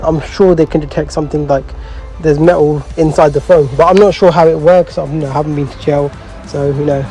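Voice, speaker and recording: A man with a fairly high but soft, mellow voice chats casually as a host. He talks in loose slang with some swearing and a bemused, storytelling manner. Music plays behind him. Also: rough recording. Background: background music.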